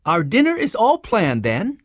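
A woman's voice: medium pitch, huge pitch swings, unhurried, no room sound, studio quality, pitched above the performer's own voice. A woman voicing a sensible, cheerful older girl who organizes her siblings in a children's story. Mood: cheerful, practical.

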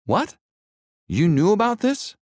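A man's voice: medium pitch, big pitch swings, moderate pace, darkish tone, dry recording, studio quality, voice pushed up, natural voice. A man voicing an earnest, devout nine-year-old boy who talks in a pleading way. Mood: startled.

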